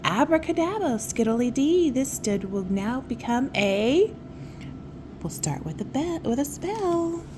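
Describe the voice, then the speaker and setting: A woman voicing an eager, clumsy little fairy girl in a storybook, earnest and excitable. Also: sits high, slow pace, noisy background, pitched above the performer's own voice, full character voice. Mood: eager, determined.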